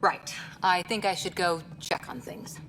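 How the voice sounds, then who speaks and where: Lowish-voiced, matter-of-fact spacefaring woman. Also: fairly even intonation, a little slow, fairly bright tone, a little hiss or hum. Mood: uneasy. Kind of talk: video game cutscene acting.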